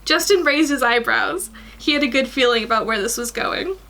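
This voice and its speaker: A woman's voice: sits high, lively intonation, normal speed, pushed and bright, low-quality recording. A young woman reading fan fiction aloud in a small room, now and then tripping over her words. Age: young adult. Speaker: guest story reader. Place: small attic room indoors.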